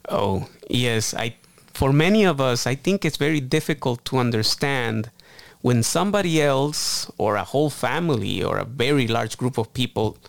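Soft-spoken male radio host. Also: medium pitch, animated, normal speed, creaky, no room sound. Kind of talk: casual radio talk.

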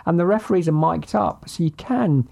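A man's voice: on the high side, moderate pace, vocal fry, mellow. A middle-aged British man co-hosting a casual sports podcast, thinking aloud in a hesitant, thoughtful way and repeating words as he reasons. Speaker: podcast co-host.

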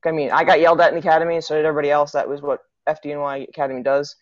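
A woman's voice: sits low, flat delivery, very fast, no echo. A young adult woman, a city EMT and fitness coach, chatting casually and often restarting her sentences. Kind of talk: casual podcast conversation. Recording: dull lo-fi, dry sound.

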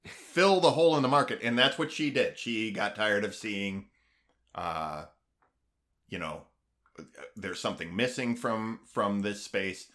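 A man co-hosting a podcast in casual chat, halting with fillers and restarts, then growing eager. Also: on the low side, lively intonation, a bit bright. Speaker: podcast co-host.

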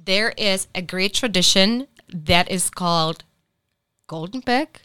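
A woman's voice: mid-range voice, slow pace, bright, forceful tone, clear, resonant tone, no room sound. A woman from the Czech Republic co-hosting a studio podcast and explaining holiday traditions. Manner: explanatory, telling about traditions. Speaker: podcast co-host. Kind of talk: casual podcast chat.

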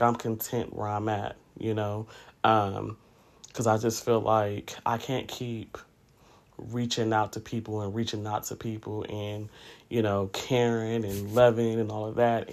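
A man in his late thirties hosting a podcast, talking candidly in a casual, reflective monologue with conversational asides. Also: medium pitch. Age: late 30s. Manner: candid, conversational, often says "you know". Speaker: podcast host.

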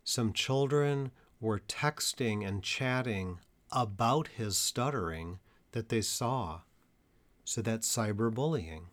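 A man working as a stuttering therapist, giving a measured educational talk to parents and teachers with deliberate, emphatic pauses. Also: on the low side, fairly bright tone.

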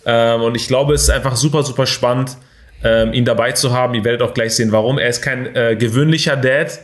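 A young man hosting a motivational podcast, energetic and full of fillers and English buzzwords. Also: mid-range voice, brisk. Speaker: podcast host. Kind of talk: casual motivational talk.

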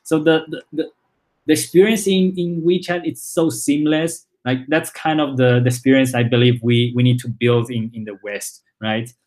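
A man in his twenties who grew up in China speaks English with a Spanish accent, his first language being Chinese. His delivery is loose and halting, full of restarts and fillers, at a middling pitch for a man. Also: steady tone, a little mellow. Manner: halting, with frequent repeated words and "uh" fillers. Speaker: startup founder and CEO, guest. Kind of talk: casual interview conversation.

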